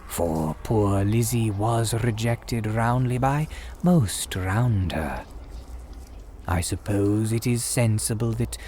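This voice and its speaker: Creepy polite graveyard priest, low slow voice, old timey formal talk, gothic radio drama. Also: male voice, dark tone, slight background noise. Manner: theatrical, formal archaic diction, deliberate dramatic pauses. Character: sinister, courteous old-fashioned graveyard priest guiding visitors. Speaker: host.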